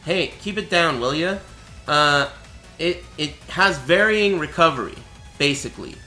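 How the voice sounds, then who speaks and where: Brief: bright, animated man, voice gone raspy, casual stream tutorial, echoey room with music. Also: on the high side, unhurried. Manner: chatty, explanatory, asks "right?" often. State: voice raspy and cracking. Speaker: streamer teaching a fighting game.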